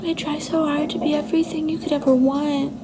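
A woman playing a sweet, insecure young girlfriend in a soft-spoken ASMR roleplay, hesitant and coy, often slipping into a breathy whisper. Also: high-pitched, little pitch movement, a little fast. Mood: shy, self-doubting. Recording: noticeable background noise and ambience.

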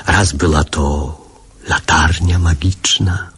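An actor narrating childhood memories fondly in a radio drama, with a husky voice and literary, reflective manner. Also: male voice, very deep voice, fairly even intonation, takes it slowly, fairly bright tone, exaggerated voice. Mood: amused nostalgia.

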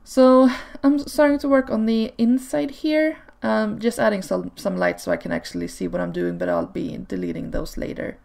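A woman whose first language is Swedish, chatting casually over gameplay footage in a relaxed way, with frequent ums and small laughs. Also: on the high side, normal speed, gravelly, hardly any pauses. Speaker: video creator. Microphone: home desk microphone.

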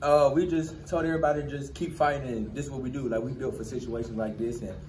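Fast-talking young man at press mics. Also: medium pitch. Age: 20s. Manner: quick, repeats phrases, relaxed slang. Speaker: college football player. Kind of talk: post-game press conference answers. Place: crowded press room indoors. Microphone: cluster of handheld press mics. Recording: roomy distant press room with noise.

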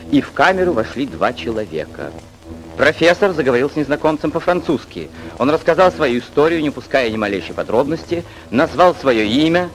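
A man's voice: mid-range voice, close to an everyday voice, rough recording. A man narrating a sea adventure radio play in a dramatic, scripted storybook style.

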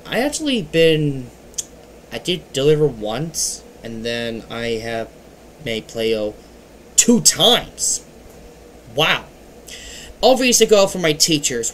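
A man's voice: fairly high pitch, a little hiss or hum. Earnest young man on a webcam. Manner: earnest, halting, with swings in loudness. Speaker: channel host. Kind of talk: casual webcam vlog. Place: dim bedroom at home. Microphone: webcam at desk.

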